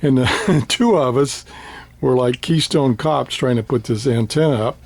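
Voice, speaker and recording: An older man, slightly hoarse, casually showing his radio antenna and its paperwork with many fillers and restarts. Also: mid-range voice, a little slow, no echo. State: slightly hoarse, clearing throat. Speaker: ham radio hobbyist vlogger. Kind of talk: casual show-and-tell.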